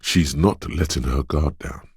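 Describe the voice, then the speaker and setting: An extremely deep voice plays a detective's quick-witted instinct in a narrative video game. It is deliberate and watchful, addressing the listener with dramatic weight. The sound is very dry, with no room around it. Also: male voice, darkish tone, slightly rough, no echo, full character voice. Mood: alert, wry. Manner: deliberate, alert, dramatic second-person narration. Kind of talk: scripted game narration, voice of an inner thought.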